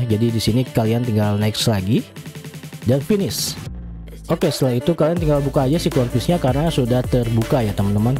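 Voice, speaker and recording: Chatty young man walking viewers through setup. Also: medium pitch. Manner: chatty, friendly, keeps addressing viewers as friends. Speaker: YouTube tech tutorial host. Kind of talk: casual step-by-step software tutorial. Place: small home room lit with blue LED lights. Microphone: large studio mic on a boom arm.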